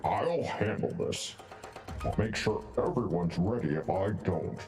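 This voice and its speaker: An actor playing a protective, plainspoken middle-aged father in a video game, frank and folksy with a quiet threat underneath. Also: fairly low pitch, big pitch swings, measured pace, recorded from a distance, over music. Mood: urgent, resolute. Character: protective, plainspoken older father of an outlaw woman. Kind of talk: scripted video game voice acting.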